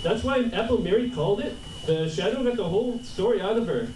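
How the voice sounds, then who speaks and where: A man's voice: high-pitched, expressive, fast talker, darkish tone. A man playing a nervous racketeer's henchman with a put-on Canadian accent in a hammy radio drama. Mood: worried. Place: convention panel room. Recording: distant, echoey room with clear noise.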